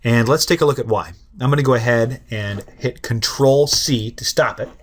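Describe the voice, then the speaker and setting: A young man in his twenties walks through code on screen in a loose, conversational way. He cracks dry jokes and plays down his own skill. His voice sits at an everyday middle pitch. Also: moderate pace. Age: 20s. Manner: casual, joking, self-deprecating explainer. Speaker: programming tutorial host. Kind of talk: screen-recorded coding lesson.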